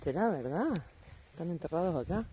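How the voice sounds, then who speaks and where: A woman's voice: fairly low pitch, animated, normal speed. Argentine woman vlogging over noisy road sound. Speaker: travel vlogger. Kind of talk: casual travel narration and chat. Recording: noisy outdoor and vehicle sound.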